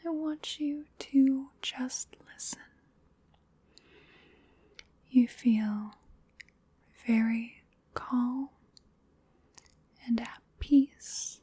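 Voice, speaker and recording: Woman doing asmr hypnosis, super slow breathy whisper, soothing, kinda high voice. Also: mellow. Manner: soothing, unhurried, repetitive guided relaxation. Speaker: ASMR performer.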